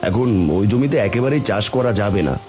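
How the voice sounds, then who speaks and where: A man voicing a village farmer and family man in a cartoon, speaking in a familiar, expressive way. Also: mid-range voice, brisk, music in the background, close to an everyday voice. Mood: homely, resigned. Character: worried village farmer, husband and father. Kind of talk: cartoon voice acting.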